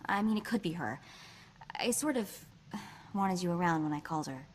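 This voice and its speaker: An actress playing a sassy, quick-witted young woman hacker in a video game, with a chatty, wry delivery. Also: lowish voice, animated, a little hiss or hum, lightly acted. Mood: nervous. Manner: wry, chatty, hesitant asides.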